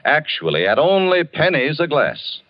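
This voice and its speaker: A man's voice: fairly high pitch, huge pitch swings, moderate pace. A man reading a radio wine advertisement in a persuasive salesman's manner, on a muffled old broadcast. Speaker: commercial announcer.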